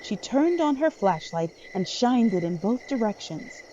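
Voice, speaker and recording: A woman's voice: a little high, big pitch swings, measured pace, mellow, dull audio, slight background noise. A woman reading scripted dramatic narration as the narrator of a story.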